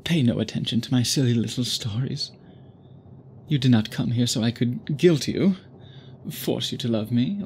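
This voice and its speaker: A man playing an obsessive, tender vampire suitor in an intimate ASMR roleplay, speaking in formal, gently doting phrases. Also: mid-range voice, slight background noise, natural voice. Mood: melancholy, earnest.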